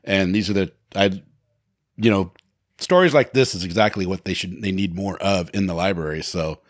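A man's voice: low-pitched, moderate pace, slight background noise. A man hosting a casual interview, asking loose, enthusiastic questions with frequent restarts. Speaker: podcast host.

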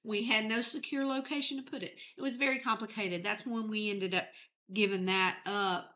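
A woman's voice: mid-range voice, fairly even intonation, normal speed, pushed and bright, a little hiss or hum. A woman in her sixties, a guest on a podcast, chatting candidly and laughing often. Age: 60s. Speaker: podcast guest, entertainment company head. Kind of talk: casual interview chat.

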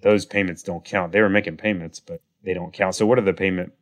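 A man hosting a podcast in a relaxed chat, his voice raspy and nasal from a cold. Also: sits low, fast. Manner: relaxed, conversational, with fillers. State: has a cold, raspy voice and clogged nose. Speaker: podcast host.

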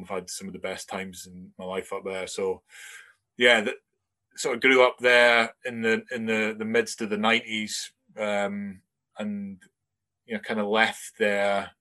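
A man's voice: lowish voice, pushed and bright. A man in his forties with a Scottish accent carrying some English traces, telling his life story as a podcast guest in a rambling, chatty way. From Scotland. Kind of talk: casual interview chat.

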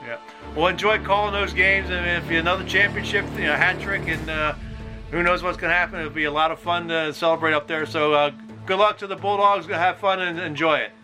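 A man's voice: high voice, fairly even intonation, fairly quick, music in the background, rough recording. A man talking conversationally as the host of a podcast. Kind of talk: conversational interview sign-off.

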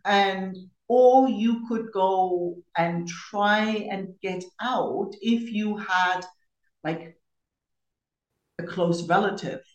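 A woman's voice: medium pitch, very slow, muffled recording. A middle-aged woman from East Germany, telling her own story conversationally as a podcast guest, with informal asides. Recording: roomy, echoey sound.

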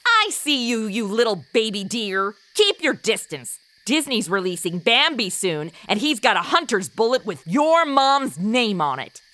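Woman acting sassy, bright, high-pitched princess. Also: big pitch swings, measured pace, few pauses, slight background noise, exaggerated voice. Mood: threatening, peevish. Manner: sarcastic, slangy. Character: sassy, entitled, brash young modern princess. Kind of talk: comic radio drama.

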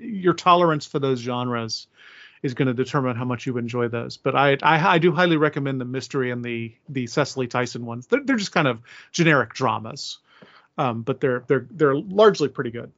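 A man's voice: mid-range voice, creaky, fairly bright tone, dry recording, highs cut off. A man hosting a podcast in a conversational, opinionated way, speaking emphatically into a close microphone. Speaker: podcast host.

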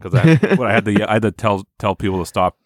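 A man in his thirties co-hosting a podcast, swearing freely and telling stories in a booming voice. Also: expressive, fast talker, no echo, studio quality. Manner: profane, loud storytelling, booming voice. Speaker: podcast co-host. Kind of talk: casual chat.

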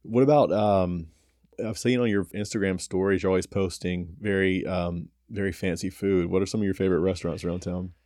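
A man hosting a podcast, asking relaxed, friendly questions in casual chat. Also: low voice, brisk, no room sound, clean studio sound. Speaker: podcast host. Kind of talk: casual interview.

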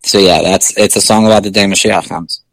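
A male singer with a low speaking voice, chatting casually in an interview with many fillers and restarts. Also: steady tone, a little fast, creaky, a bit bright, no room sound, low-quality recording.

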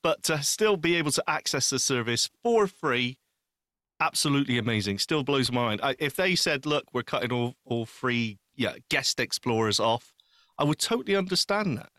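A man's voice: mid-range voice, lively intonation, normal speed, fairly bright tone, dry recording, clean studio sound. A man talking casually and earnestly as a panelist on a podcast, with an emphatic way of putting things.

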